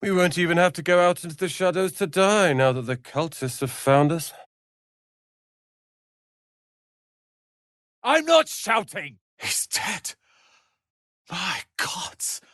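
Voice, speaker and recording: Proud young male wizard, haughty, articulate, theatrical, sharp-tongued, fantasy video game, dry close sound. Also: fairly high pitch, dry recording, full character voice. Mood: angry, indignant, then anguished, desperate.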